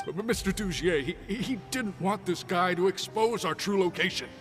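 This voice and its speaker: A man's voice: sits high, moderate pace, a bit bright, soft background music. An actor voicing a panicky, cowed henchman in a video game, agitated and prone to stammering. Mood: fearful, pleading.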